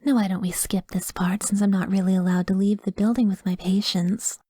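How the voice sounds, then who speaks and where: A woman playing a doting, manipulative therapist in an ASMR roleplay, soft-spoken with breathy, coaxing, controlling phrasing. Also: medium pitch, fairly even intonation, fairly quick, no room sound, clean studio sound, natural voice. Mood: composed, coaxing. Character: doting, possessive female therapist, manipulative and smoothly professional.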